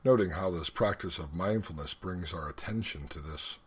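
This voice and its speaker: A man leading a guided meditation as an instructor, speaking in a measured, instructional way with deliberate pauses. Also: sits low, breathy edge.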